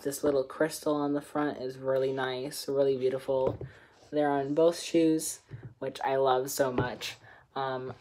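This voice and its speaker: A teenage boy chatting casually in his bedroom, showing off new clothes, giggling and filling pauses with ums. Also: a little high, slight background noise. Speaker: vlogger. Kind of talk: casual haul video. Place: small bedroom indoors. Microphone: camera close up at desk.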